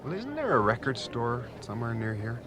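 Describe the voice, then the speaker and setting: A man's voice: mid-range voice, huge pitch swings, a little fast, crowd noise. An actor playing a forgetful, shaky man in a 1980s radio drama, hesitant and conversational. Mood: puzzled, insistent. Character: forgetful, shaky man calmly losing hold of reality.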